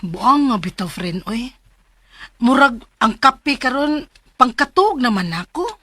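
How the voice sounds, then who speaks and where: A woman's voice: on the high side, very animated, takes it slowly, background noise. A woman with a Cebuano accent acting a weary, coffee-addicted working mother who confides her troubles in a radio drama. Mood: casual, defensive. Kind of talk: Philippine radio drama acting and first-person letter narration. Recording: music bed under the voice.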